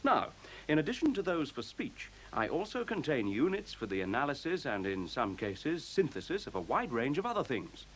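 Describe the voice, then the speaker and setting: An actor playing a self-assured, courteous computer in a radio play, speaking in articulate, formal, precisely lecturing phrases. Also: male voice, fairly high pitch, sing-song, normal speed. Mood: coolly confident. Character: self-assured, courteous sentient computer, male-voiced, coolly superior. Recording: faint tape hiss.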